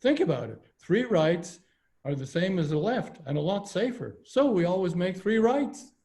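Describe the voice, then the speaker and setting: A man's voice: high-pitched, very animated, moderate pace, dark tone. A man reading the part of a cheerfully stubborn elderly father in his nineties, in a folksy, patient way over a video call. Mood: matter-of-fact.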